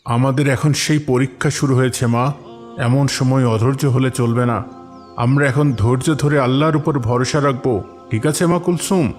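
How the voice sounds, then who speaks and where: A man voicing a devout, patient farmer father in a cartoon story, calm and reassuring with a preaching manner. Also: medium pitch, normal speed, faint music behind, lightly acted. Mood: solemn, reassuring.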